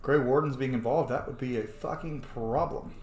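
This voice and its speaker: A man's voice: medium pitch, normal speed, a little mellow, reverberant, slight background noise. A man giving casual, informal let's-play roleplay commentary with some swearing. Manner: informal, profane. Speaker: streamer, self-styled dungeon master.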